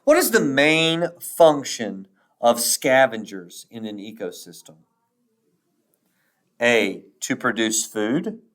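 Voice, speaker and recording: Male test reader, mid-pitched, extremely unhurried and deliberate, pausing between answer options, varied intonation. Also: lively intonation, fairly bright tone. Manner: measured, pausing after each option letter. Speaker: teacher reading a test aloud. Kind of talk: slow, deliberate read-aloud of quiz questions and answer choices.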